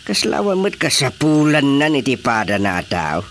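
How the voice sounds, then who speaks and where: Give this to an expressive villager full of opinions in an Ilocano radio comedy-drama. He speaks at an average pitch that leaps and dips widely, with an Ilocano Filipino accent. There is faint noise behind the voice. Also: moderate pace. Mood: opinionated, wry.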